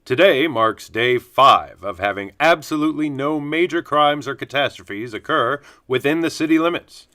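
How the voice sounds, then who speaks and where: A man's voice: mid-range voice, expressive, moderate pace, hardly any pauses, pushed and bright. A man playing a chipper morning news anchor with a polished, upbeat broadcast delivery in a parody newscast.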